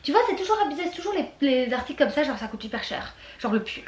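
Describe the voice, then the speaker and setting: High-voiced, playful young woman vlogger, roomy sound. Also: very fast. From Belgium. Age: 20s. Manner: animated, teasing, playful. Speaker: vlogger presenting a clothing haul. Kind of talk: casual banter to camera. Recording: roomy echo, faint background noise.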